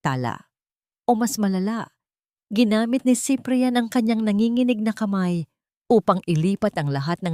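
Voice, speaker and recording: A woman's voice: mid-range voice, warm tone, dry recording, studio quality, lightly acted. Woman voicing a grave man bearing bad news. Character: grave male ally delivering grim news.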